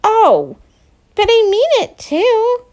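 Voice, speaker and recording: A woman's voice: very high-pitched, wildly swooping pitch, slow, darkish tone, pitched above the performer's own voice, heavily acted. A woman voicing a wry teenage girl who teases dryly and gets flustered when caught out. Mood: teasing.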